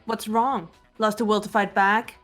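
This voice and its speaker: A woman's voice: medium pitch, little pitch movement, normal speed, a bit bright, faint music behind, natural voice. A woman playing a cool, confident, analytical teenage girl detective in an amateur video game dub, assured and precise. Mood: challenging.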